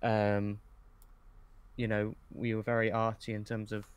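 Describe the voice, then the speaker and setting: A young man talking casually as a podcast guest, looking back in a hesitant, low-key way with pauses mid-sentence. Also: monotone, smooth, no room sound. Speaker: podcast guest, script writer. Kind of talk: casual reminiscing in interview.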